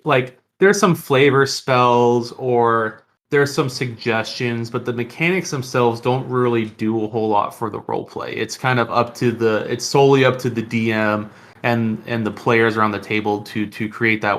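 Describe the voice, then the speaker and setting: A man with an average-pitched voice talks as a podcast host. He explains his points casually, in the loose phrasing of unscripted conversation. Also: a bit bright.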